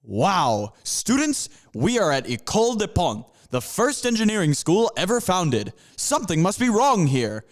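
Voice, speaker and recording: A man's voice: high-pitched, huge pitch swings, measured pace, few pauses, full character voice. A man voicing a theatrical, upbeat panther mascot who leads students through time. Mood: grand.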